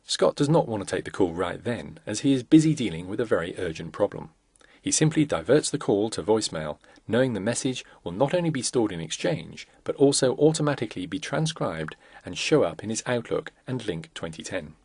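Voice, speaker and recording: A man with a lowish, gravelly voice narrates a corporate product demo. His delivery is measured and instructional but moves through lively rises and falls in pitch. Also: dry recording, studio quality.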